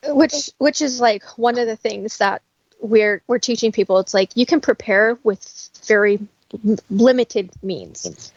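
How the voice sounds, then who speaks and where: A woman's voice: a little high, a little slow, no echo, dull audio. A woman talking casually and instructively, in a matter-of-fact way, as a guest who teaches practical skills. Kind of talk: casual conversational explaining.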